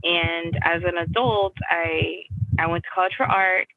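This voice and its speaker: A woman's voice: fairly low pitch, steady tone, unhurried, no room sound, narrow, tinny audio. A young adult woman from Miami, answering interview questions in a casual, rambling way with many restarts and "like" fillers. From United States (Miami). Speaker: guest artist.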